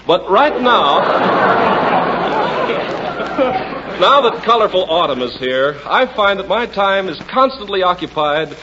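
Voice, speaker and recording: Lively high-pitched man, 1940s radio announcer. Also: slow, pauses often, a crowd in the background. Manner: folksy, emphatic. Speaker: radio announcer and comic performer. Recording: muffled 1940s radio recording, noisy.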